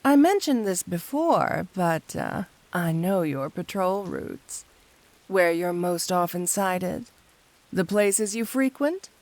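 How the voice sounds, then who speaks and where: A woman's voice: lowish voice, expressive, darkish tone, no room sound. A woman playing a flirty, teasing supervillain cat burglar in an intimate ASMR roleplay, speaking coyly to the listener. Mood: playful, flirty. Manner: coy, teasing, intimate, unhurried.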